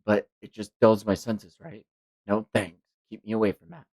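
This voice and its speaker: A weary wounded ninja from a manga, read by a man. He is stubborn and stoic, speaking curtly and low-key. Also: little pitch movement, moderate pace, a little mellow, dry recording. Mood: curt, resigned.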